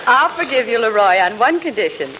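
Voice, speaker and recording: An American woman playing a gracious, tactful schoolteacher, kindly and gently playful, in a 1940s radio comedy. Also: a little high, big pitch swings, a little fast. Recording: old radio recording, faint hiss.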